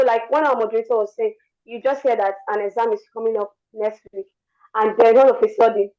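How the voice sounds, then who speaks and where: A young woman with a worn, hoarse voice, giving an earnest motivational talk over an online call. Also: fairly high pitch, darkish tone, lo-fi sound. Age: young adult. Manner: earnest, repeats words while speaking. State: lost her voice. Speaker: guest speaker.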